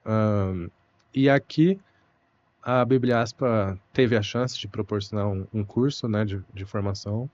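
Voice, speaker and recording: Brazilian man, young adult, lowish voice, thoughtful interview guest, muffled sound. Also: a little mellow. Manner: thoughtful, unhurried, frequent "né" and "puxa" fillers. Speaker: guest, Portuguese teacher. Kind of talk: relaxed conversational interview.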